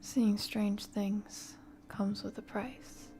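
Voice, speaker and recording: A woman voicing a weary, grumpy male investigator in a visual novel, hushed, reluctant and curt. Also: mid-range voice, steady tone, extremely slow, a little hiss or hum. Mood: weary brooding. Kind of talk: visual novel character reading.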